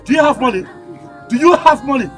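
A man's voice: very high-pitched, lively intonation, quick pace, a bit bright, soft background music. A Nigerian man acting a hot-tempered tricycle driver in a screen drama, indignant and repeating himself. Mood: angry.

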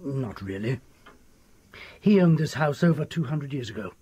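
An actor playing an obsessed, fearful country doctor in a radio drama, with a husky voice and formal, ominous manner. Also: male voice, medium pitch, animated, normal speed, mellow. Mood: grave, ominous. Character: desperate, frightened country doctor obsessed with the occult.

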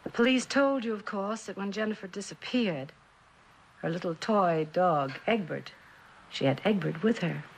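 An actress playing a reserved, wistful, probing mother of a missing daughter in a vintage suspense drama, speaking in a measured, formal way. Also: mid-range voice, expressive, gravelly, slight background noise, poor audio. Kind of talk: 1960s television suspense drama acting.

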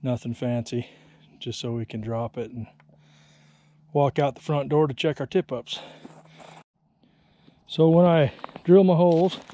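A man's voice: mid-range voice, steady tone, a little slow, pauses often, mellow, dry recording. A man casually explaining his ice fishing setup outdoors on a frozen lake, plainspoken and self-deprecating, recorded on a body-worn action camera. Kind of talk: casual how-to narration.

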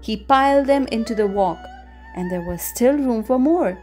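A woman with a fairly high voice reads a children's story aloud. Her phrasing is expressive and deliberate, and music plays behind her. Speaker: storybook reader. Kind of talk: scripted children's story narration.

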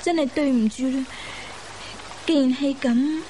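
A gentle young courtesan of old China in a radio play. Her voice is high and soft-edged. Faint trickling noise sits behind her. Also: unhurried, low-quality recording. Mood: soft, puzzled. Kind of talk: Cantonese radio drama acting.

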